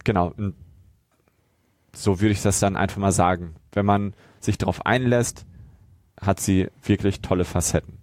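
A man's voice: sits low, steady tone, normal speed, darkish tone, no room sound. A man co-hosting a podcast, sharing his verdict in a casual review discussion. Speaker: podcast co-host.

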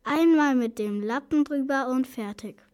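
High-voiced female children's radio presenter. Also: measured pace, a little mellow, smooth. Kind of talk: scripted tips for children.

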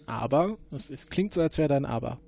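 Halting formal agent, a man plays him. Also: medium pitch, lively intonation, vocal fry, dark tone, slightly rough. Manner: halting, formal, many fillers. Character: formal male time-travel agent questioning a witness.

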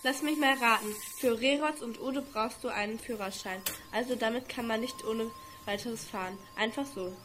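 Young female actor as a curious, quick-witted schoolgirl, high and very bright, amateur radio play with faint noise. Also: close to an everyday voice.

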